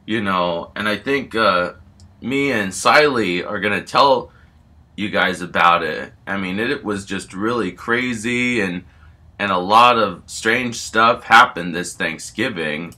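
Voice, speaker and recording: A man voicing a calm talking fish statue who tells stories in a rambling, hesitant, improvised way. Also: lowish voice, unhurried, voice pushed down, heavily acted. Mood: bemused. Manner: rambling, hesitant, filler-heavy. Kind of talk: improvised storytelling.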